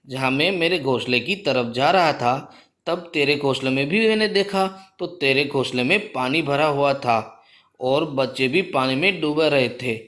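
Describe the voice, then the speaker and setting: A man's voice: mid-range voice, moderate pace, fairly bright tone, close to an everyday voice. A man voicing a kindly male crow, a helpful neighbour in a cartoon, explaining things reassuringly.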